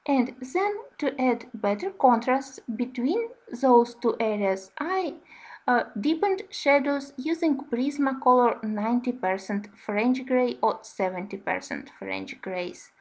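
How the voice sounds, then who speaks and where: Female tutorial narrator, native Russian speaker, fairly high pitch, unhurried and careful non-native delivery. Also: animated, poor audio. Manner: careful, slightly halting phrasing in non-native English. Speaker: host of a coloring tutorial channel.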